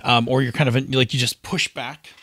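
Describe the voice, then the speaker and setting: A man's voice: mid-range voice, steady tone, a little fast, a bit bright, slightly rough, dry recording. A man co-hosting a podcast, explaining things in casual chat and emphatically tagging his points with little check-in questions. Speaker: podcast co-host. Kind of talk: casual explanatory chat about tabletop gaming.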